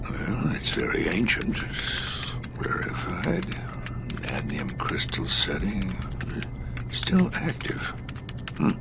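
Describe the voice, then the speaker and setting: An actor playing a kindly, reclusive older scientist in a sci-fi game, musing and avuncular. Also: male voice, deep voice, wildly swooping pitch, slow, creaky, heavy background noise, heavily acted. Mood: pondering. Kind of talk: acted dialogue in a sci-fi game cutscene.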